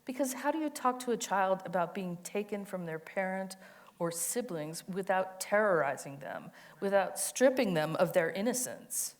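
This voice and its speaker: A woman's voice: medium pitch, expressive, moderate pace. A woman giving a dramatic reading of an autobiographical monologue, with a tender, emphatic storytelling delivery. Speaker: co-reader of a monologue.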